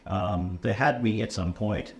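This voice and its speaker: Low-voiced American man, casual and roomy. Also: unhurried. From USA. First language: English. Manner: casual, many ums and uhs, checks in with "okay?". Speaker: computer science instructor. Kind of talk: relaxed conversational interview. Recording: roomy echo, faint room noise.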